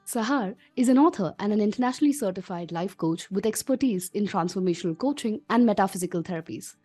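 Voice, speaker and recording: A woman's voice: mid-range voice, darkish tone. A woman hosting a podcast, reading a polished intro and then interviewing a guest. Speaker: podcast host, coach.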